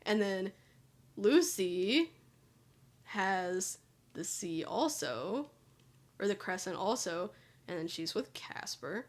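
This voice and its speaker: A woman's voice: medium pitch, very animated, slow pace, pauses often. A young woman chatting casually while she draws, rambling and restarting her sentences, with a somewhat nasal voice. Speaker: channel host. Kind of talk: casual chat while drawing.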